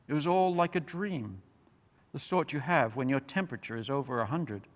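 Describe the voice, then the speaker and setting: A man reading a children's fantasy story aloud as a measured, expressive, dramatic narrator. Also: medium pitch. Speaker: storyteller, reader. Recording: long reverberant hall.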